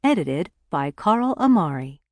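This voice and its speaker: A woman's voice: fairly low pitch, very animated, a little slow, darkish tone, dry recording, studio quality. A woman reading a scripted audiobook title announcement.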